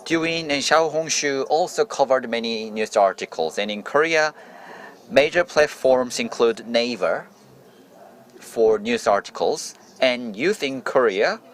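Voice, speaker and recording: A man giving a simultaneous English interpretation of a forum speech, halting and restarting phrases as he goes. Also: mid-range voice. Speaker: interpreter voicing a journalist's speech.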